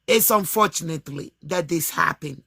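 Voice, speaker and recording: A woman speaks over a remote call in a heated online chat, her voice gravelly and husky. She is emotional, pleading and stammering, repeating herself as she struggles for words. Her tone has a bright, tense edge. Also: low-pitched, normal speed, no echo, studio quality. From Ghana. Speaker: guest.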